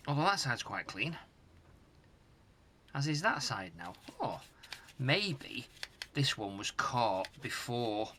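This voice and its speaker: A middle-aged British man giving casual hands-on repair commentary, musing aloud in a halting, hesitant way. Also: medium pitch, big pitch swings, bright, forceful tone, a little hiss or hum. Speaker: host of a retro tech repair channel. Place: home workshop loft.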